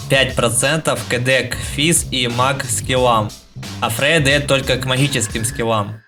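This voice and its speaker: Man, mid-pitched voice, speech impediment on L, informal gaming guide narration, slangy explanations, light background music. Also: bright, forceful tone. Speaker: video game guide creator. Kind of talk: casual scripted gaming tutorial narration.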